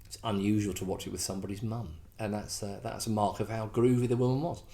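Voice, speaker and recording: A man's voice: lowish voice, fairly even intonation, quick pace, mellow. A middle-aged man from England hosting a casual request show, rambling and self-deprecating, full of fillers and restarts. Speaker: host. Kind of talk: casual chat.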